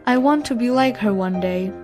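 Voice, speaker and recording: A woman's voice: on the high side, normal speed, dark tone, soft background music, natural voice. A female performer playing a curious, teasing young daughter, asking direct questions in a radio play. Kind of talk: short radio play dialogue.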